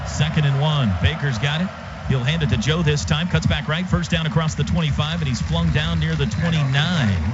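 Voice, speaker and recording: Male football play-by-play caller, high register, punchy and clipped, over loud stadium din. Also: moderate pace. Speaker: play-by-play radio announcer. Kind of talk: live football play-by-play commentary. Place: stadium radio booth. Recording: dull radio broadcast, loud stadium noise. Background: loud stadium ambience.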